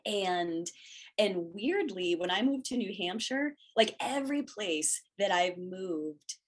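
Slow, reflective woodworking teacher. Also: female voice, medium pitch, lively intonation. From born in Hawaii. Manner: reflective, halting, many restarts. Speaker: woodworking educator. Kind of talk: casual interview answers.

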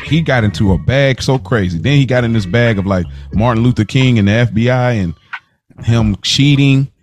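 A man's voice: medium pitch, moderate pace, soft background music. An American man co-hosting a podcast, talking informally and slangily, as if to a close buddy. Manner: informal, slangy, addresses partner as bro and man. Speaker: podcast co-host. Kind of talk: casual chat.

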